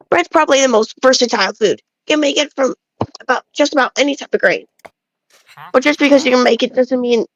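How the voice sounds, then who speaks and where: A woman's voice: sits high, dry recording, rough recording. A woman reading aloud as an opinionated, folksy male bread enthusiast who rambles and grumbles through game dialogue.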